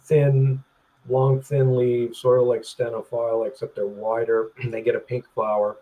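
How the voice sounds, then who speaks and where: A man speaking as a guest over a webcam video call, rambling and halting, clearing his throat and restarting his sentences. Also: mid-range voice, little pitch movement, mellow, low-quality recording. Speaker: plant grower, interview guest. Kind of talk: casual interview chat.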